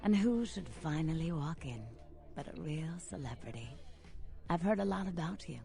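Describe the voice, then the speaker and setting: An actress voicing a seductive, melancholic female vampire nightclub owner in a video game, with a breathy, teasing, lingering delivery. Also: sits low, lively intonation, moderate pace, mellow, faint music behind. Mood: confiding, coy.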